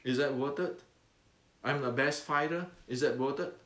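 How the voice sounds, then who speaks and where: A man's voice: medium pitch, fairly bright tone, reverberant. An older man from Indonesia with an Indonesian accent, talking earnestly as a martial arts teacher, repeating points and stumbling over fillers and restarts. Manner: earnest, repetitive, halting with many fillers and restarts. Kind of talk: unscripted talk to camera.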